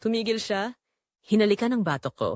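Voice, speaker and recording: A woman's voice: medium pitch, lively intonation, a little fast, a little mellow, dry recording, clean studio sound. A woman with a Filipino accent reading a first-person scripted drama in a confessional, storytelling manner. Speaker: audio drama narrator.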